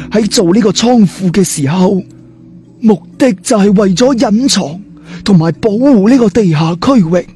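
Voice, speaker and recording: A man voicing a sly, secretive old boss in an audiobook, speaking in a deliberate, ominous way. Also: sits high, mellow. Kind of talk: audiobook character dialogue.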